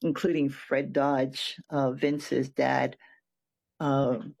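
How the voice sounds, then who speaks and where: An older man from Hawaii, a retired professor, giving a reflective personal account with frequent pauses and restarted phrases. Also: medium pitch, little pitch movement, gravelly, a little mellow. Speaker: retired professor and nonprofit board president.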